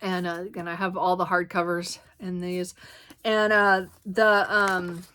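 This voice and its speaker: Female book vlogger, casual and chatty, bright tone, slight hoarseness, meandering delivery full of fillers. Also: on the low side, flat delivery, a little slow, a little hiss or hum. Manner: rambling, many ums and self-corrections. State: slightly hoarse, clearing throat. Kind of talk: casual book haul chat.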